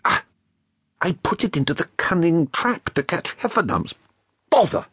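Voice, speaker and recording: A man voicing a slow, amiable, greedy bear in a dramatised children's story, musing and hesitant in a husky voice. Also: on the high side, big pitch swings. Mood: puzzled, plaintive. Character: Pooh, slow, dim, greedy, amiable honey-loving bear.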